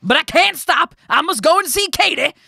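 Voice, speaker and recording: Woman doing a frantic, shouting young miller. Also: mid-range voice, expressive, a little slow, vocal fry, slightly rough, pitched above the performer's own voice, heavily acted. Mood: excited, hurried. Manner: shouting, urgent. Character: frantic young man, a miller defying his mother.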